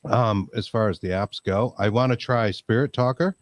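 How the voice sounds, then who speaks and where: Low-voiced, easygoing male podcast host. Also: moderate pace, no echo, studio quality. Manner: easygoing, filler-heavy, laughs easily. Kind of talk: casual podcast chat.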